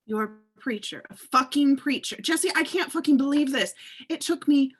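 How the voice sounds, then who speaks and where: A woman's voice: high voice, normal speed, lightly acted. A woman reading a furious young woman confronting the ex who left her, with a put-on Southern accent and plenty of swearing. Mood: angry. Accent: American Southern, put on. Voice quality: husky, swearing, lively delivery. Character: furious young woman, jilted ex-car thief. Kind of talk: amateur script read-through.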